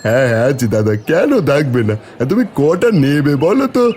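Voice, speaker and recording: A man's voice: fairly high pitch, sing-song, brisk, a little mellow. A man voicing a friendly, easygoing village man in a cartoon story, chatting in a familiar, eager way. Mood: jovial, obliging.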